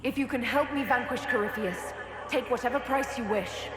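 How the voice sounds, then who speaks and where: A woman acts as a determined young-sounding fantasy game heroine. Her voice is fairly high and earnest. It rings in a long reverb with music playing clearly behind it. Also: moderate pace, natural voice. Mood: solemn, determined. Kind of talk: dramatic fantasy game acting.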